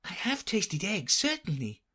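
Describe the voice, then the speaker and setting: A woman's voice: medium pitch, sing-song, measured pace, a bit bright, slightly rough, pitched above the performer's own voice, heavily acted. A woman voicing a polite, puzzled little girl who protests earnestly.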